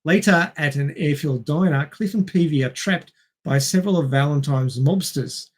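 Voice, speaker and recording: Casting: male film podcast co-host, voice a little high, recapping and chatting with a wry, dry wit. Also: moderate pace. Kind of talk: reading a film plot summary with wry asides and casual chat.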